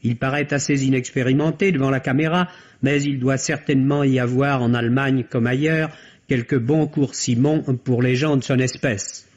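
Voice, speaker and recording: A man's voice: mid-range voice, fairly even intonation, moderate pace. A man giving an articulate, dryly ironic film review in a radio column. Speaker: film critic. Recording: dull vintage radio archive recording.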